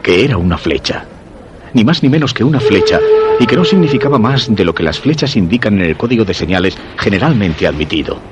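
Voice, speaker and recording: An actor from radio theatre playing an obsessed, lovelorn commuter who narrates his search in brooding, deliberate Castilian Spanish. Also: lowish voice, sing-song, very noisy. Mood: anxious, obsessed. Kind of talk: dramatic first-person radio theatre narration.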